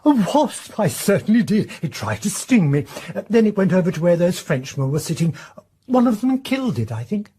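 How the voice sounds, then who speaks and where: An actress playing a hesitant, polite young female passenger with a breathy voice in a radio drama. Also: lowish voice, big pitch swings, normal speed, warm tone. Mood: startled, uncertain.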